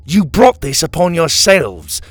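A grandiose, ruthless warlord voiced by a male storyteller declaims at full force. The delivery is imperious and loud, with sweeping pitch and a dry, close sound. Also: sing-song, unhurried, no room sound. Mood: imperious, scornful.

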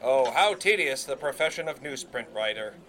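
An actor playing a cocky, grandiose young 1920s radio announcer in an audio drama, florid and emphatic. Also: male voice, medium pitch, expressive, moderate pace, pushed and bright. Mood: disdainful boasting. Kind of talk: period audio drama acting.

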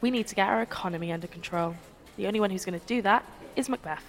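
A woman's voice: mid-range voice, fast talker, close to an everyday voice. A woman playing a stubborn, dismissive Conservative voter in a satirical radio play, speaking bluntly.

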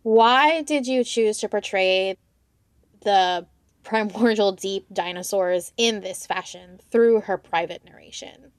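A woman hosts a podcast interview with a medium-pitched voice. Her delivery is measured and notably slow. The recording is close and very dry. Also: dry recording.

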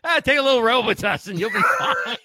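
A man's voice: very high voice, wildly swooping pitch, fast talker, sharp tone, slightly rough, no echo, clean studio sound. A man co-hosting a casual live stream, playful and teasing. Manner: playful, teasing, laughs a lot. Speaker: co-host.

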